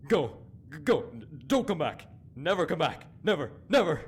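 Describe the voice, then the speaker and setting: A man's voice: a little high, very animated, husky, exaggerated voice. A man playing a grave, self-righteous inquisitor in a fantasy game, speaking in solemn, dramatic rhetoric. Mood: shaken, distraught. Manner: solemn, deliberate, rhetorical speechmaking. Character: zealous old male inquisitor, grave, self-righteous, cracking with anguish.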